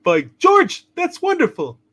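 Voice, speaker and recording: Fussy old guardian angel, extremely high and swooping, chirpy, theatrical radio-play ham. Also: male voice, huge pitch swings, a little slow, fairly bright tone, heavily acted. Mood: delighted, explaining. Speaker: channel co-host. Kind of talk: hammed-up radio play reading.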